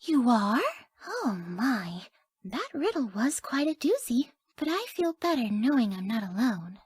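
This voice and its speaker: A dreamy, easily confused young woman from a video game. Her voice is high and soft, drifting slowly along with wide-eyed wonder and airy, gentle phrasing. The melody swings widely. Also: very animated, no room sound, clean studio sound, full character voice. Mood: shy, curious. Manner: soft, airy, gentle, often dropping to breathy near-whispers. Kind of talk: video game character voice lines.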